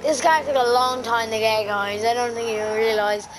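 A young woman talks her viewers through a driving game in loose, sweary slang. Her voice is hoarse and sits a bit high, and she sounds thoroughly worn out. The recording has a steady noisy hiss under her, with game engine sounds behind. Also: normal speed. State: exhausted and hoarse. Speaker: gaming video creator. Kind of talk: casual gameplay commentary.

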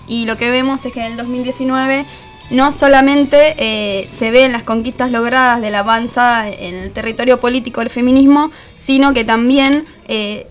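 An Argentine woman giving political commentary as a columnist on a radio show. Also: fairly high pitch. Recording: music bed under the voice. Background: music.

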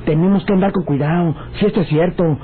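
A man's voice: high voice, fast talker, close to an everyday voice. An actor playing a jittery, grumbling rustic man with a northern Mexican accent in an old radio drama. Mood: shocked, fearful. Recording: tinny old radio recording with faint noise.

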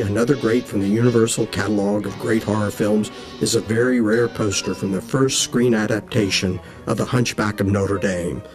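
Low-voiced man narrating a promo. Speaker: auction house presenter. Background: soft music.

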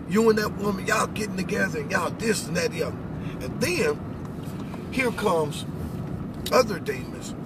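A middle-aged American man giving an informal spiritual talk in an emphatic, instructive way, often checking in with questions. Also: sits high. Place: inside a vehicle cab. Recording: very noisy vehicle, road noise. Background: car and outdoor noise.

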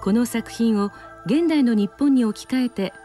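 A woman narrates a television report from a script at a brisk clip. Her voice is somewhat high and soft-edged, with music playing underneath.

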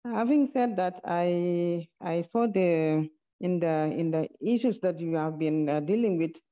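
A woman in the audience asking a formal, courteous question over a video call, in a halting way. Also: on the low side, warm tone. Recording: dull video-call audio.

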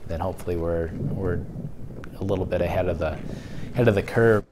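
A man, a rancher, talking in a halting, good-humored way in an outdoor interview. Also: low-pitched, a little mellow, a little hiss or hum.

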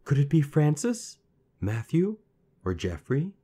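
Man putting on an urgent, high-voiced young woman. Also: wildly swooping pitch, a little slow, warm tone, slightly rough, heavily acted. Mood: teasing. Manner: high-pitched, urgent, pleading. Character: young woman, desperate pleading mother in fairy tale.

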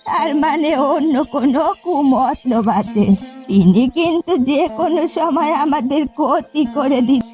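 A woman's voice: high voice, unhurried, dark tone, soft background music. A woman acting a wary, protective old village granny in a cartoon folk tale, giving cautioning counsel. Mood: suspicious.

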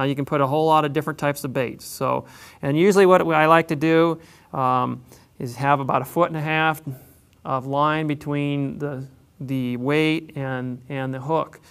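A man explaining how to tie a drift fishing setup, in a plain, unhurried way with frequent pauses. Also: on the high side, a bit bright, smooth. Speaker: fishing instructor. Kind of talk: instructional demonstration.

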